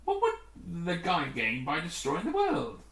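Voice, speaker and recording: A man's voice: a little high, huge pitch swings, measured pace, fairly bright tone, far from the mic, voice pushed up, full character voice. A man voicing a sceptical, drawling rescue pilot in a storybook, insistent in manner. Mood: disbelieving. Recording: roomy, echoing room.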